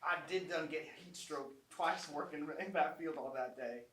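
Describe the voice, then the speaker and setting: A woman's voice: low-pitched, moderate pace, natural voice. A woman playing an earnest, devoted Southern country man in love, folksy and sincere, in a live amateur stage comedy. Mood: nostalgic, fond. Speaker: actor in a staged reading of a short play. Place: small college theatre stage. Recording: roomy distant theatre mic, background noise.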